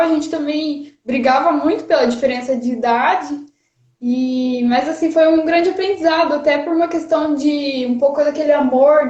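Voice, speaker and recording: Chatty high-voiced Brazilian woman, nervous. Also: a little mellow. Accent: Paraná Brazilian Portuguese. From Rio de Janeiro, Brazil. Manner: chatty, nervous, laughs often, many fillers. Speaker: guest. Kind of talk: casual livestream chat. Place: her parents' house, indoors. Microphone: phone on a charger. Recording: muffled, roomy livestream audio.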